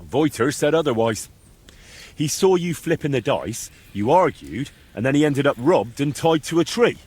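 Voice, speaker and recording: A husky, blunt young hero. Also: male voice, a little high, big pitch swings, moderate pace, a little mellow. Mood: stern, challenging. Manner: direct, challenging, wry. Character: bold, blunt young medieval hero pressing for answers. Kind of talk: video game dialogue acting.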